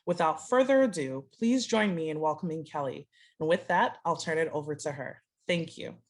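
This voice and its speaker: A woman's voice: low-pitched, moderate pace. Woman host reading a scripted introduction. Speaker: host introducing a workshop speaker. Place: online video meeting. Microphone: video call.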